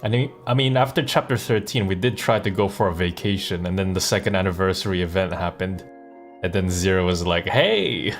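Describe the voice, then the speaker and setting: A man's voice: low voice, steady tone, fairly quick, faint music behind. A man giving casual, amused game livestream commentary, rambling and repeating words as he thinks aloud. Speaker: live streamer and voice actor.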